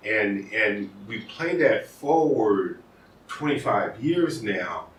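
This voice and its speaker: American man, law professor in an interview, lower voice, thoughtful, restarts often, roomy echo, set back from the mic, faint room hiss. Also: animated, slow pace. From United States. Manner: thoughtful, with frequent restarts and repeated words. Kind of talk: conversational interview. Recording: echoey room, mic at a distance.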